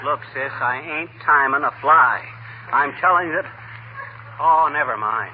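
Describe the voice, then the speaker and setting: A man's voice: a little high, measured pace, close to an everyday voice. A man playing a blustering, easily flustered middle-aged teller of tall tales in a folksy 1940s radio comedy. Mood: exasperated. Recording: tinny, faintly noisy 1940s radio recording.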